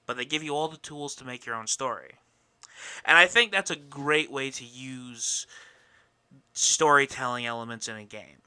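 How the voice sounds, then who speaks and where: A man hosts a casual podcast in a very bright, forceful voice with a smooth, clear tone. He is opinionated and rhetorical, with some swearing and mock indignation. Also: medium pitch, moderate pace. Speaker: podcast host.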